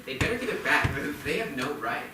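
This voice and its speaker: A man's voice: fairly high pitch, sing-song, brisk. An actor playing an aggrieved, flippant teenage boy in a live staged play reading. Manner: flippant, casual, petulant. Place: indoor theatre stage, recorded from a distance. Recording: echoey, noisy theatre recording from far off.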